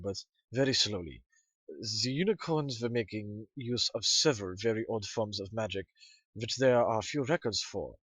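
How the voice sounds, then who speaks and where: A man's voice: mid-range voice, no room sound, lightly acted, low-quality recording. A man playing an earnest, bookish male pony researcher in an audio drama, polite, deferential and a little hesitant. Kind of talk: scripted audio drama acting.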